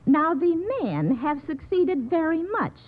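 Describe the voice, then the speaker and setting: A woman's voice: high-pitched, big pitch swings, measured pace. A woman from the American Midwest, speaking as a panelist on a radio quiz show with a mock political tone. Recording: tinny old radio broadcast.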